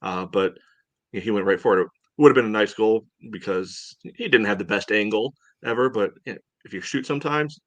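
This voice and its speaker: A man co-hosting a podcast, casually analysing soccer in a halting way, often saying "you know". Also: fairly low pitch, no room sound. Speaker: podcast co-host.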